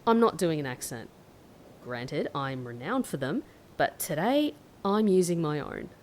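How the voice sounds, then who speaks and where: A dry, skeptical female operative for an audio drama. Her lowish voice moves in lively, sardonic turns, blunt and unimpressed, over faint noise. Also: a little slow. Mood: grumbling, sardonic.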